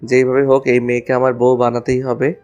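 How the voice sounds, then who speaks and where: A man's voice: mid-range voice, monotone, a little fast, darkish tone, soft background music, lightly acted. A man playing a cheeky, lovestruck college student with a flippant, teasing way of talking in an audio love story. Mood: smitten.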